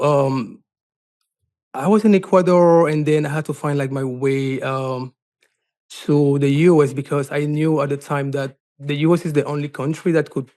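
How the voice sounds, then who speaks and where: A man with a Cameroonian accent telling a personal story as an interview guest, haltingly, often dropping in "like". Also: mid-range voice, darkish tone. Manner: halting, frequent "like" as filler. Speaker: podcast guest, life coach and advocate.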